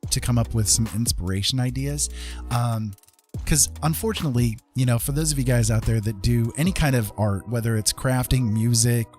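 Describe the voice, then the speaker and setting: A man chatting casually on a livestream as an art streamer, rambling along in a gentle, earnest way. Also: mid-range voice, moderate pace, soft background music.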